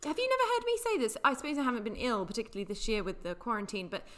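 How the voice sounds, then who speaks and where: A woman's voice: a little high, wildly swooping pitch, very fast, fairly bright tone. A woman co-hosting a casual chat, explaining slang with frequent laughter. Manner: laughs often, explains patiently. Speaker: podcast co-host.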